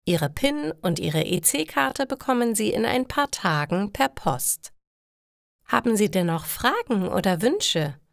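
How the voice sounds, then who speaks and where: Frau spielt eine langsame, höfliche Bankangestellte. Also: female voice, mid-range voice, sing-song, gravelly, no room sound, studio quality, exaggerated voice. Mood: polite. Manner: formal, slow storybook dialogue. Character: polite, helpful female bank clerk.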